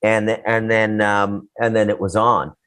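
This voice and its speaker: A man's voice: on the low side, level tone, a little slow, a bit bright, clean tone. A man co-hosting a casual talk show, giving opinions in a rambling, blunt, profane way. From Canada.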